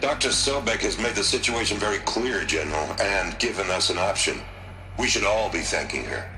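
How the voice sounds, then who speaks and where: A man's voice: lowish voice, animated, brisk, pushed and bright. A man with an American accent playing a resolute, commanding middle-aged military chief in a game, firm and authoritative. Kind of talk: video game cutscene voice acting. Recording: roomy echo, music behind.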